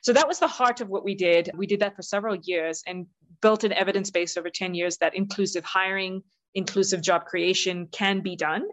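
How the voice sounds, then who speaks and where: A woman's voice: medium pitch, steady tone, fairly quick, low-quality recording. A middle-aged woman from southern India giving earnest, articulate, explanatory answers as an interview guest, stressing her key points. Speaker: interview guest, youth employment leader.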